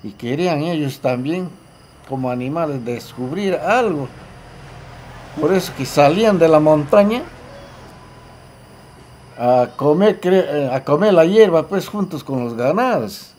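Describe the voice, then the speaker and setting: An older man with a Peruvian accent recalling the past informally, haltingly and with repeated phrases. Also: fairly high pitch, lively intonation, a little mellow. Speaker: local resident giving testimony. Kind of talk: casual spoken reminiscence.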